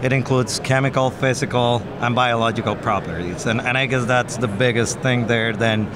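A man's voice: medium pitch, fairly even intonation, moderate pace. A young man, an agronomist guest, explaining earnestly with everyday analogies into a desk mic at a trade show booth. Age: young adult. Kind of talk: explanatory interview answers. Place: busy expo hall booth. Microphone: desk microphone on stand. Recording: background noise of trade show floor.

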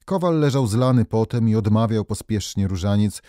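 A man's voice: fairly low pitch, lively intonation, moderate pace, mellow, no echo, clean studio sound. A man narrating folk tales as a storyteller, reading from a script. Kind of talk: scripted fairy-tale narration.

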